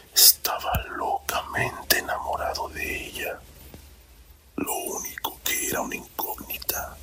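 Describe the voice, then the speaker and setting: A man softly whispering a romantic story in a slow, deliberate ASMR narration, close to the microphone. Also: low-quality recording. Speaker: storyteller reading own written story.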